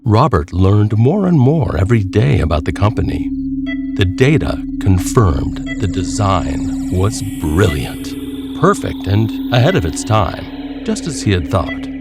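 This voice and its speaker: A man narrating a scripted horror story in a deliberate, suspenseful way, with dramatic pauses. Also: on the low side, big pitch swings, over loud music.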